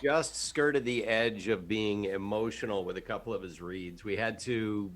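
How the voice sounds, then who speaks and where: A man's voice: on the low side, moderate pace. A man voice actor, guest in a casual chat, telling anecdotes expressively. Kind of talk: casual video-call chat.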